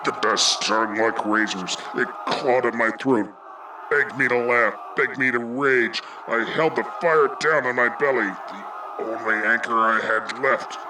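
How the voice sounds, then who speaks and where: An actor playing a grim, hard-boiled dragon detective, narrating a noir radio play in terse, brooding lines. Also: male voice, mid-range voice, unhurried, noisy background, close to an everyday voice. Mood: brooding narration. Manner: terse, brooding, menacing delivery. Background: loud music.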